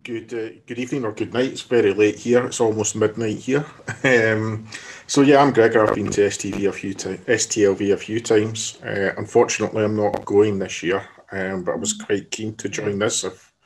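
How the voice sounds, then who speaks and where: A Scottish man speaks in a fairly low voice. He chats casually as a guest in an online group call, introducing himself informally. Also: steady tone, normal speed, poor audio. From Scotland. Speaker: guest in a fan hangout.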